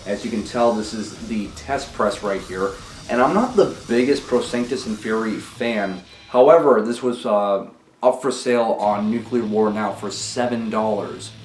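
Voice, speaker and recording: A young man with a sore throat talking casually and ramblingly about records he collects, recorded on a low-quality camera mic. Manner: laid-back, rambling, swears casually. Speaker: vinyl collection vlogger. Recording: roomy, distant camera mic, faint noise.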